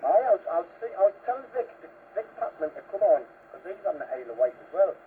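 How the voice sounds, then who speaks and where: Male CB operator, high animated voice, casual chat on a tinny radio link. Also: a little fast. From northern England. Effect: two-way radio transmission. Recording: tinny, noisy long-distance CB radio.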